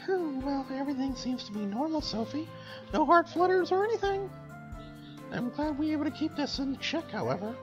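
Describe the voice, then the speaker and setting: Man putting on a high, flirty lady doctor. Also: animated, fairly quick, muffled recording, over music. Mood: gentle, clinical. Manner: coy, breezy, teasing.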